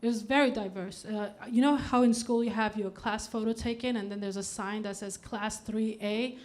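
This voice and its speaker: A woman in her thirties from Denmark, performing a stand-up comedy set on a club stage, deadpan with punchy outbursts. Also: medium pitch, moderate pace.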